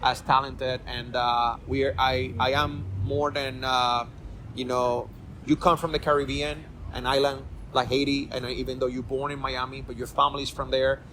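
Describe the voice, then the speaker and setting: A middle-aged man hosts a relaxed interview podcast. His voice sits at an ordinary pitch, and he loops back over his own words as he talks. A soft hum of background noise lingers underneath. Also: moderate pace, rough recording. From Puerto Rico. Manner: rambling, repeats words and restarts often. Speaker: podcast host. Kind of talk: casual interview chat.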